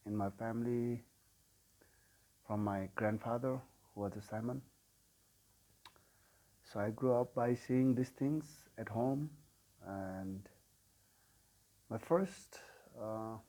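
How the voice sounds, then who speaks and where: A man in his forties with a Nepali accent tells his own story as a sound healer. His voice is mellow and rather low, and he speaks very slowly with many pauses and filler sounds. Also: low-quality recording. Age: 40s. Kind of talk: personal life story told to camera.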